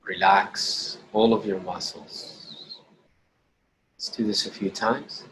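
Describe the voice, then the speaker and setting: A man with a fairly low voice guides a meditation over noticeable background noise. He speaks slowly and deliberately in short phrases with pauses between them. His delivery is gentle and instructional. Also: fairly even intonation, poor audio. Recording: clearly audible background noise.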